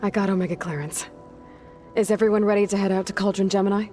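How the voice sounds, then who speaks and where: A woman's voice: mid-range voice, fairly even intonation, fast, warm tone, natural voice. A woman playing a resolute young hunter and leader in a video game, plain-spoken and dryly wry. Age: young adult. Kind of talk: video game cutscene acting. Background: faint music.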